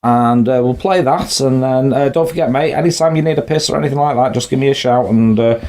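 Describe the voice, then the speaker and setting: A man's voice: medium pitch, brisk, a little mellow. A man from Wales hosting a casual live-stream chat, joking with his guest and greeting viewers. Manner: chatty, jokey, greets viewers. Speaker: host.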